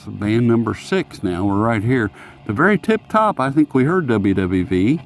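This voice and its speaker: A man's voice: mid-range voice, big pitch swings, normal speed, slight background noise. A man chatting casually through a hands-on gadget review in a rambling, folksy, self-mocking way, as a hobbyist reviewer.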